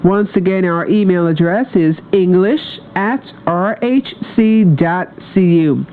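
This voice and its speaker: Female radio announcer, medium pitch, careful scripted read, tinny shortwave sound. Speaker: radio presenter. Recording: tinny shortwave broadcast with hissy noise.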